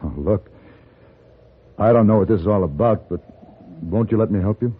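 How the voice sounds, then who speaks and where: An actor playing a calm, wry American gunfighter in an old-time radio western, measured and plain-spoken in narration and dialogue. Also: male voice, fairly low pitch, husky. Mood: concerned, helpful. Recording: tinny old radio broadcast with music.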